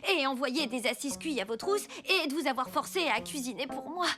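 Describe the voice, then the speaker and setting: A woman voicing an easily discouraged female pastry bandit in an animated cartoon, with expressive delivery. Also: high-pitched, a little fast, faint music behind. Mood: contrite.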